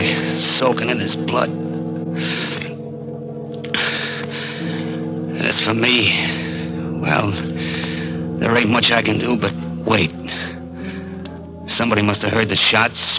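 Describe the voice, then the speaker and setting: A man's voice: mid-range voice, close to an everyday voice, rough recording. An actor playing a bitter small-time gunman in a 1940s radio crime drama, with an American accent and streetwise, hard-boiled slang. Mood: wounded, resigned. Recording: vintage 1940s radio recording, music behind.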